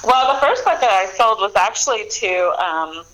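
A woman talks about her writing life in a relaxed interview. Her speech is full of ums, restarts and easy laughter, and her pitch sits mid-range. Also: normal speed, rough recording. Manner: chatty, laughs often, many fillers and restarts. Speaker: author guest. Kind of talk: casual interview answers.

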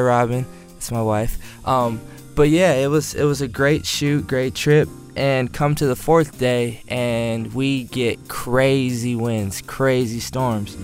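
Male guest storyteller, casual, animated, self-deprecating, faint music behind. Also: medium pitch. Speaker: cinematographer guest.

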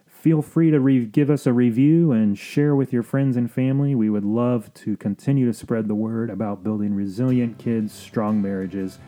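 A man hosting a podcast as a marriage counselor, explaining things in an earnest, measured monologue. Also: mid-range voice, steady tone, dark tone.

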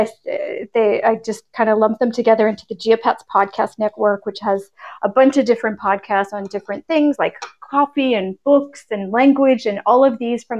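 A woman's voice: a little high, darkish tone. A woman guest on a podcast, explaining her projects casually in a chatty, self-amused way over a slightly dull online call. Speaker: podcast guest, podcast network founder.

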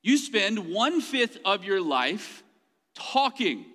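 A man's voice: high-pitched, sing-song, slow, bright, forceful tone. A man preaching a sermon, telling funny self-deprecating stories and laughing often as he talks. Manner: humorous self-deprecating storytelling; laughs often mid-sentence. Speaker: pastor. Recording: long hall reverb.

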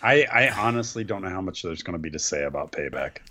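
A man's voice: sits low, lively intonation, fast talker, sharp tone. A man co-hosting a wrestling podcast, adding wry, skeptical comments to his partner's recap. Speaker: podcast co-host. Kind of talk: casual wrestling chat.

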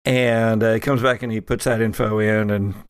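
A man narrating as game master of a role-playing podcast, halting and thinking aloud as he goes. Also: on the low side, steady tone, smooth, no room sound, clean studio sound.

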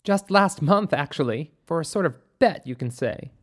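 A composed woman doctor as a narrator acts her out in an audiobook story. She speaks in a thoughtful, precise way, observant and measured, with a wry playful edge. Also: low-pitched, expressive.

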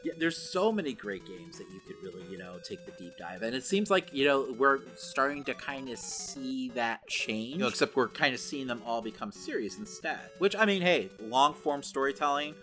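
A man's voice: medium pitch, lively intonation, normal speed, hardly any pauses, a bit bright, faint music behind. A man co-hosting a casual pop culture podcast, talking conversationally with lots of "like" and "you know". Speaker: podcast co-host.

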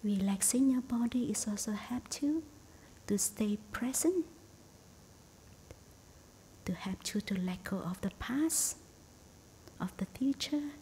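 Slow, hushed woman guiding meditation. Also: animated, poor audio. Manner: hushed, breathy, near-whispered delivery. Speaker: meditation teacher. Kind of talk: guided meditation. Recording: faint rumbly background noise.

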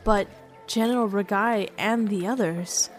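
A woman's voice: mid-range voice, measured pace, soft background music, close to an everyday voice. A woman voicing a cheerful female companion who explains things in a teasing, upbeat way.

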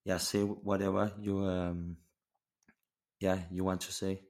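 Podcast host, male, twenties, Danish accent. Very low, gravelly voice, halting and informal. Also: level tone, a little mellow. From Denmark. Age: 20s. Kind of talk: casual conversational interview.

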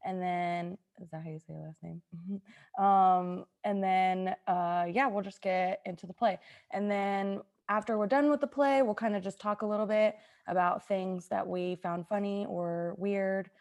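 A woman's voice: medium pitch, steady tone, unhurried, a little mellow. A woman chatting casually with a group as she hosts a podcast. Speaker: podcast host.

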